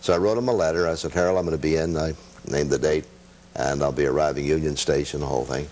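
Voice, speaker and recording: An older man with a very low voice talks as a radio veteran and interview guest. He tells anecdotes in a halting, modest, self-deprecating manner, casually looking back. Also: fairly even intonation, darkish tone, slight background noise. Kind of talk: casual chat, reminiscing.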